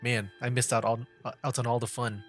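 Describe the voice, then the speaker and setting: Casual male game streamer, young adult, mid-pitched, chatting through livestream gameplay with light music underneath. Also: level tone, fairly quick, a bit bright.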